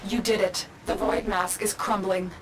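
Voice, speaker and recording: A commanding mission guide, played by a woman, delivers firm, composed instructions. Her voice sits at a middle pitch with a faint hiss of ambience behind it. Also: fairly even intonation, moderate pace, natural voice. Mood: urgent. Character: calm, commanding female mission guide, protective.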